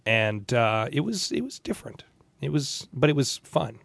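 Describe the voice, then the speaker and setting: A man co-hosting a casual interview podcast, speaking in measured phrases with dry humor. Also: mid-range voice, very animated, vocal fry, a bit bright, dry recording. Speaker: podcast co-host.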